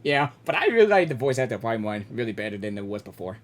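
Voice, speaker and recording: A woman gaming streamer giving casual live reactions in an informal, halting, exclamatory way. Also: very deep voice, very animated, soft background music.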